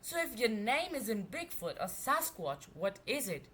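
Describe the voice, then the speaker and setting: A woman's voice: a little high, very animated, a little slow, a bit bright. A woman voicing a determined, nosy young female reporter in a children's storybook. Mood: inquisitive. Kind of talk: children's storybook read-aloud.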